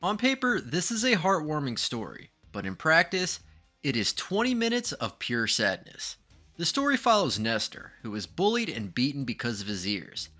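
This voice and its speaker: A man's voice: medium pitch, sharp tone. A man reading scripted documentary narration with dramatic, ominous emphasis.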